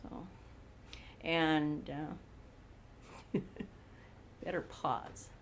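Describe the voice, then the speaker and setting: A woman in her eighties from Montana, recalling her childhood slowly in an oral history interview. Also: low voice, fairly even intonation, a little hiss or hum. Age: 80s. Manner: slow, unhurried recollection with frequent pauses. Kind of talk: reminiscing in a recorded interview.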